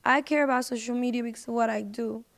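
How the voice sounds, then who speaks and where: Clear, higher-pitched woman in casual conversation. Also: steady tone, quick pace. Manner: informal, slangy, frequent "like". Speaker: podcast guest, singer. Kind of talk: casual chat. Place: podcast recording.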